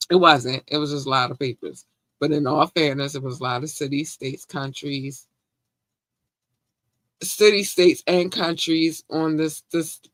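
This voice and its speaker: Animated Baltimore man, bright voice. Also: mid-range voice, dry recording. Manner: animated, affectionate, slangy, shouting out listeners. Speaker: podcast host. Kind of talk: casual live-stream chat.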